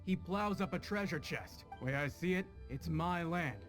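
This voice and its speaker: A man's voice: a little high, normal speed, faint music behind, lightly acted. A man playing a stubborn, hearty farmer in a video game, talking in a folksy, emphatic way. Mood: defensive.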